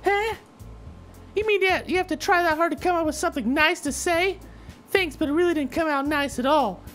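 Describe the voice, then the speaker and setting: A woman's voice: very high voice, a little fast. A woman voicing a snappy, indignant teenage schoolgirl in a visual novel, curt, sarcastic and defensive. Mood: scornful. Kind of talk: visual novel character dialogue read aloud. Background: soft background music.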